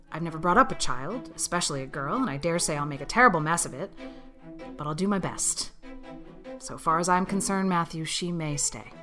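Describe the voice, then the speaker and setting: A woman playing a stern, brusque middle-aged spinster guardian in an audiobook, crisp, scolding and matter-of-fact. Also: on the low side, pushed and bright. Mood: resigned.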